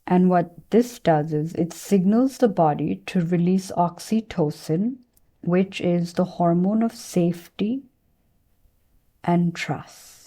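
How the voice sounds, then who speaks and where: A woman teacher leading a guided meditation, speaking in a gentle, soothing way with unhurried pauses between phrases. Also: on the low side.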